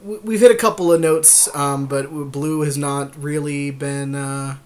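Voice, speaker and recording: A man's voice: a little high, fairly even intonation, a little slow, a little mellow. A man co-hosting a podcast, chatting casually about a card game draft and thinking aloud in a tentative way. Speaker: podcast co-host.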